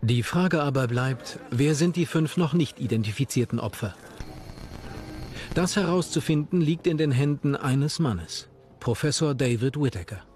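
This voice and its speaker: Guy doing scripted true crime doc narration, normal pitch, bit of music behind. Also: expressive, normal speed, a little mellow. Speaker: documentary narrator. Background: background music.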